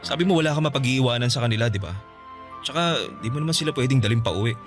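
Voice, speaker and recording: Actor playing self-serving boyfriend, Filipino accent, quick pace, insistent, pleading, radio drama. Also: male voice, medium pitch, animated, faint music behind, lightly acted. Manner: coaxing, insistent. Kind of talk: Tagalog radio drama acting.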